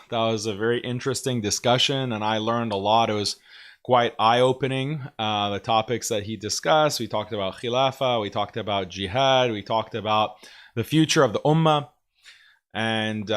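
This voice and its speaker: A man in his thirties, hoarse from a cold, hosting a casual livestream commentary show and talking to viewers with frequent pauses and religious phrases. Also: medium pitch, fairly bright tone. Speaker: host.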